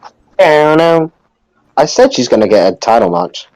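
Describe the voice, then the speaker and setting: A man's voice: a little high, sing-song, normal speed, darkish tone, poor audio. A man co-hosting a podcast in casual chat, chipping in with short reactions. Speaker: podcast co-host.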